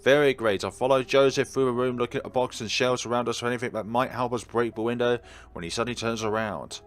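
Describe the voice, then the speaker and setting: A man's voice: mid-range voice, fairly even intonation, fast talker. A man giving casual let's play commentary, reading narration aloud and wryly talking back to the characters. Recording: faint game music behind.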